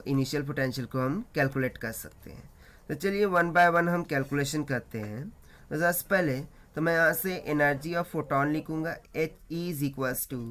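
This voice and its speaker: Slow, higher-pitched Indian man tutoring. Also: fairly bright tone. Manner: mixes Hindi and English, explanatory. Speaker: physics tutor. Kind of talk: step-by-step lesson, working a problem aloud.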